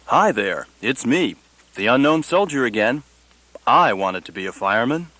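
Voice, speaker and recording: An actor in a satirical radio play voicing the wry, lonely ghost of an unknown soldier, confiding directly in the listener. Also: male voice, medium pitch, big pitch swings, moderate pace, bright, forceful tone, no room sound, low-quality recording.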